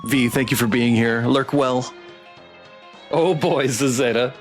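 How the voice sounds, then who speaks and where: A man with a mid-pitched voice hosting a game livestream. He is playful and very expressive, moving between chatty reactions and reading aloud, with soft music in the background. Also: measured pace. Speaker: streamer playing a visual novel.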